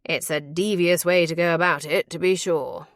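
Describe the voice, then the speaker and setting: A woman's voice: fairly low pitch, steady tone, moderate pace, no echo, clean studio sound, close to an everyday voice. A woman voicing an earnest, exacting male physician in an audiobook, speaking formally and precisely, with scorn for quackery. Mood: grave, assured. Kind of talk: audiobook character voice.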